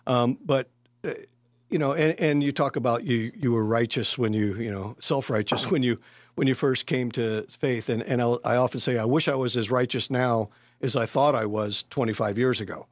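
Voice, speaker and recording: Hesitant older pastor, dry sound. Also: male voice, medium pitch, moderate pace, no echo. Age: 60s. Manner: hesitant, many fillers and restarts. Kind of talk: casual interview conversation.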